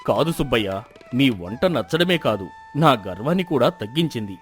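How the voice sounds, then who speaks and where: A man's voice: mid-range voice, big pitch swings, brisk, soft background music, natural voice. Man playing a dignified, humbled village landlord. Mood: humbled, grateful. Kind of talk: Telugu moral story dubbing.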